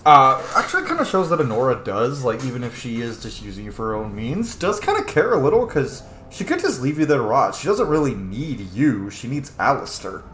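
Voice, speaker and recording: Animated man doing casual game commentary. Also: medium pitch, fairly quick, hardly any pauses. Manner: informal, chatty, swears casually. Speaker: host of a game roleplay playthrough. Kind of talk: casual let's-play commentary. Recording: roomy echo, faint background noise.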